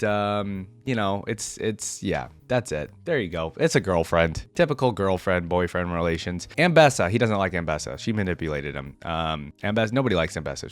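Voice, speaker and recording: A man's voice: lowish voice, clean tone, no room sound. A man giving casual, off-the-cuff commentary as a video host, informal and hesitant as he thinks aloud.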